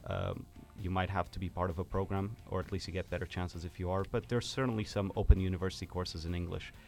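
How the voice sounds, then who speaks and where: Give me a young man from Portugal with a very low, flat voice explaining his program on the radio. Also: fast, vocal fry. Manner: earnest, explanatory, some hesitation. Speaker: student guest. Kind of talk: radio interview.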